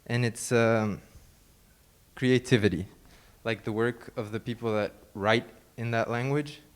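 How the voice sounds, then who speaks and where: A young man, a student guest on an academic panel, explaining his research in a measured, thoughtful way. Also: medium pitch, fairly even intonation.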